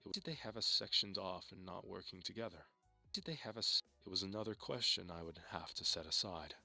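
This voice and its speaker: Very deep, bright-edged male narrator. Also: lively intonation, vocal fry, no echo, faint music behind. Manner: measured, repeating lines as takes. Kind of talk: scripted story narration.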